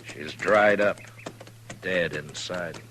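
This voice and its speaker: An actor playing a steady, weary American frontier marshal, terse and understated, in an old radio western. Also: male voice, deep voice, slow pace. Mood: somber. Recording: noisy 1950s radio recording.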